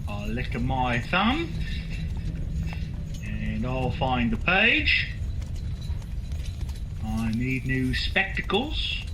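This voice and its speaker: A man playing a stubborn, warm-hearted market poultry seller with a folksy, blustery way in a radio drama. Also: mid-range voice, big pitch swings, very slow, pauses often, background noise. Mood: cocky, challenging.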